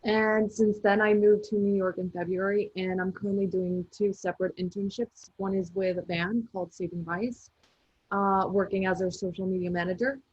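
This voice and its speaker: Breathy young woman from Colombia. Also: mid-range voice, steady tone, moderate pace, a little mellow, no echo. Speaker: alumni panelist. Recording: dull dry video-call audio.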